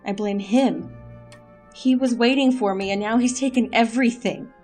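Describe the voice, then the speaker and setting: A woman playing a dry, resolute secret agent in an audio drama, with a wry, brisk manner. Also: on the high side, darkish tone, faint music behind, lightly acted.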